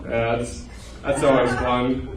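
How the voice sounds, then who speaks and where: A man's voice: medium pitch, flat delivery, slow pace. A man in his twenties performing a stand-up set in a loose, conversational way, with self-deprecating punchlines. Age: 20s. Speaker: stand-up comedian. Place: comedy club stage before a live audience. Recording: echoey live room, distant mic, audience noise.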